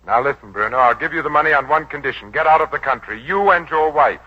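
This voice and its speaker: An actor playing a suave, ruthless crime boss in a 1940s radio drama, speaking in a smooth, coolly condescending way. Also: male voice, fairly high pitch, expressive, fast. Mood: cool, firm. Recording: muffled vintage 1940s radio broadcast.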